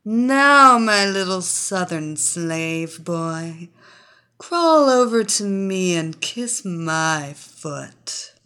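Domineering spy lady, slow deliberate orders, low-ish voice, read by a woman narrator. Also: animated, bright, forceful tone, full character voice. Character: commanding female spy hypnotist, cold and domineering.

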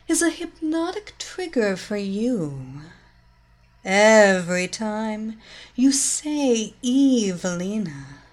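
A woman voicing a commanding female hypnotist villain who speaks in a slow, soft, lingering hypnotic cadence. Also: mid-range voice. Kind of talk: hypnosis audio story acting.

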